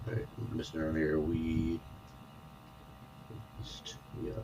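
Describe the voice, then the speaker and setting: A man directing an amateur audio drama, giving casual, halting instructions. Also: extremely low pitch, monotone, mellow, slight background noise, poor audio.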